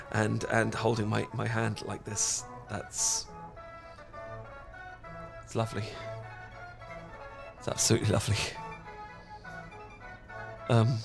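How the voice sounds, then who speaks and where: A man's voice: medium pitch, gravelly. A British man playing a cute, awkward young dork in a romantic roleplay, stammering and rambling as he talks. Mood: shy, smitten. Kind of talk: romantic ASMR roleplay acting.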